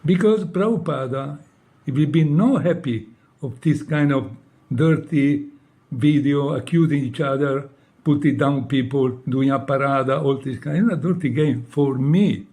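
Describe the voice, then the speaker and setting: A man with a mid-range voice and a marked Italian accent works through English, his second language, in short halting phrases. He speaks straight to one listener, reproachful and pleading by turns, and keeps tagging his points with a questioning "no?". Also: mid-range voice, a little mellow. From Italy. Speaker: former devotee addressing a leader. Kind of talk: direct personal appeal to camera.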